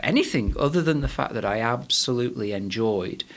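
A man's voice: medium pitch, animated, a little fast. A man in his forties from England with a Manchester accent, a podcast guest talking through his background with many fillers and restarts. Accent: Manchester English. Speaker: guest, head of a management body. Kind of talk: podcast interview.

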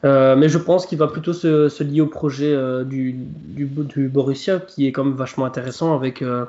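A man's voice: mid-range voice, fairly even intonation, moderate pace, mellow. A man on a football podcast panel, talking with many hesitations. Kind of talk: podcast discussion. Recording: echoey room, dull audio.

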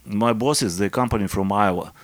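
A man in his fifties with a Russian accent, talking as a podcast guest in a halting, modest way with many fillers. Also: on the low side, no echo. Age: 50s. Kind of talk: casual interview chat.

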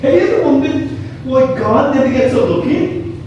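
A man's voice: very high voice, lively intonation, moderate pace, a little mellow, highs cut off. Brummie guy preaching a sermon, emphatic and repetitive, super echoey room w noticeable background noise. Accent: Birmingham English. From Birmingham, England. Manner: emphatic, repeats phrases, asks rhetorical questions. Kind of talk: sermon to a congregation. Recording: very echoey room, distant mic, clear noise.

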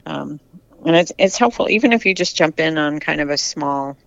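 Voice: mid-range voice, lively intonation, moderate pace, gravelly, no echo, dull audio. A retired cyclist in their sixties from the United States, chatting candidly in an interview with frequent restarts. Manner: chatty, candid, many restarts and repeated words. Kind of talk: casual interview answers.

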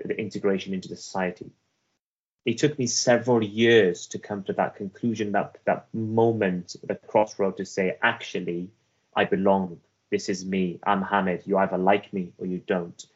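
Man, Welsh accent, very low pitch; earnest interview guest, halting delivery, muffled lo-fi audio. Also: slightly rough. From Afghanistan. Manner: earnest, halting, with many restarts and fillers. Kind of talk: reflective personal storytelling.

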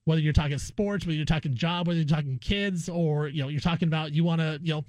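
A man's voice: a little high, fairly even intonation, quick pace, darkish tone, dry recording. A man hosting a sports talk radio show, giving opinionated commentary in a conversational way. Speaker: sports radio guest host. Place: radio studio.

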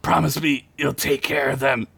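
A defiant gunslinger in a fantasy tale, acted by a man. He is badly hurt but still wisecracking, at a middling male pitch. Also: level tone, moderate pace, vocal fry, fairly bright tone, breathy edge, exaggerated voice. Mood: urgent.

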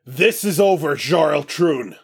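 A man voicing a determined woman fighter who speaks forcefully and plainly. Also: medium pitch, very animated, slow pace, vocal fry, pitched above the performer's own voice, full character voice. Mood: commanding. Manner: forceful, declaring.

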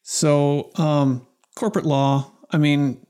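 An interview host leads the talk with a middle-pitched voice. The questions come in a curious, unhurried way, following up on the guest's story. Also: steady tone, mellow, clear, resonant tone. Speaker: podcast host. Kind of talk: interview conversation.

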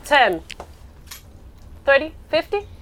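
A woman of the Old West, driving a bargain. Her voice sits fairly high, and she answers in terse, clipped bids, as film dialogue. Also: very animated, slow pace, fairly bright tone, heavily acted.

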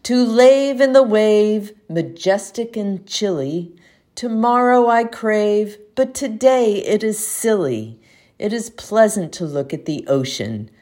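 A woman reciting a poem aloud as an amateur reader, with measured, expressive phrasing. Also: medium pitch. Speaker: community poetry reader. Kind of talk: poetry recitation.

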